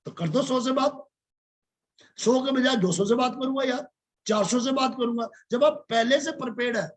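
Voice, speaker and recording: A man's voice: extremely high pitch, moderate pace. A man giving a motivational talk to his business team, preachy and full of rhetorical questions. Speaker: business mentor leading a team meeting.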